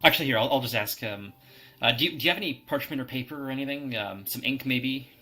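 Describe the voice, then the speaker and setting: A man's voice: mid-range voice, fast, bright, forceful tone, faint music behind. A man chatting casually and hesitantly as a player in a tabletop game. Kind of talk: casual, tentative in-game chat.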